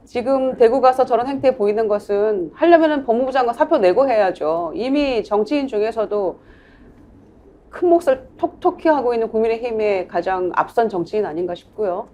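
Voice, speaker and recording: A woman, a former lawmaker on a political panel, speaking firmly and critically. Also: mid-range voice. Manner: firm, critical and steady. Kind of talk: political debate commentary.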